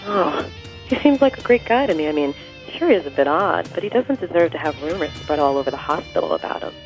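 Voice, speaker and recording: An actress playing a fair-minded, sarcastic hospital worker in an audio soap opera, with a dry, skeptical manner. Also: female voice, low voice, animated, fast, faint music behind, rough recording. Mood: defensive, sarcastic.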